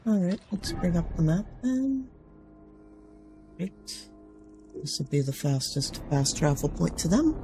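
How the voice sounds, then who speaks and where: Man, rough sinusy voice, slow, lively pitch, casual game commentary, game music behind. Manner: chatty, talks to the game and himself. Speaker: gaming channel host.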